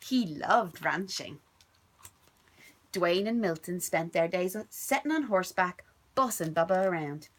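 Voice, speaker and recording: A woman's voice: fairly low pitch, expressive, a little slow. A woman reading a picture book aloud to her little son at home, playful and sing-song. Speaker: parent reading to a child.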